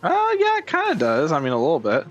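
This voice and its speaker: A man's voice: a little high, huge pitch swings, fast, dull audio. A man giving casual gameplay commentary as a streamer, muttering to himself as he plays. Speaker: video game streamer. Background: game music.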